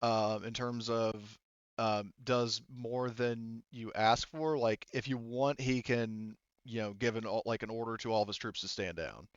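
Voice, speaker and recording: A man narrating a tabletop game as game master, improvising in a halting, hesitant, rambling way. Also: mid-range voice, fairly even intonation, clean tone, no room sound, lo-fi sound.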